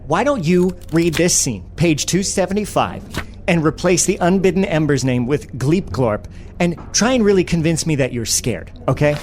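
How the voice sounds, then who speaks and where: A man's voice: a little high, expressive, moderate pace, darkish tone, a little hiss or hum, close to an everyday voice. A man playing a self-important, exasperated screenwriter in a comedy sketch, wordy and emphatic. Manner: indignant, incredulous, emphatic, wordy. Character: exasperated, self-important male screenwriter defending his script. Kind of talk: comedy sketch dialogue, pitch meeting.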